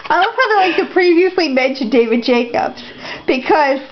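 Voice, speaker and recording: A woman's voice: high-pitched, animated, moderate pace. A woman chatting casually as a companion chiming in on a reading. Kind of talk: casual chat. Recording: dull lo-fi home recording.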